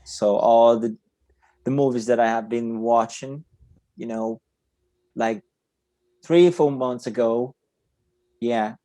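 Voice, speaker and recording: A man's voice: mid-range voice, steady tone, takes it slowly, pauses often, dark tone, no echo. A man with a Portuguese accent, a native Portuguese speaker, hosting a casual interview and often searching for words. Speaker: podcast host.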